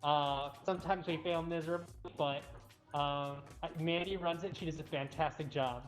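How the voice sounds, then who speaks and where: A woman's voice: sits low, steady tone, normal speed. A woman co-hosting a livestream, talking casually to viewers. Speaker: cat cafe livestream co-host. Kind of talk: casual live chat. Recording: echoey room, faint music behind.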